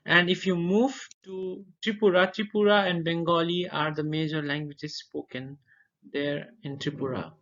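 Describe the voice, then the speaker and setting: A young man from Darjeeling, India, whose first language is Nepali, giving an informal educational presentation and listing items one by one, pausing to recall names. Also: on the high side, fairly even intonation, a bit bright. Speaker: student presenter. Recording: dull lo-fi recording.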